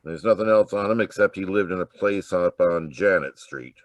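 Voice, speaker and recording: An actor playing a gruff homicide detective in an old-time radio crime drama. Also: male voice, sits low, moderate pace, no room sound, lightly acted. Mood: gruff, businesslike. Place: home room, live video call performance.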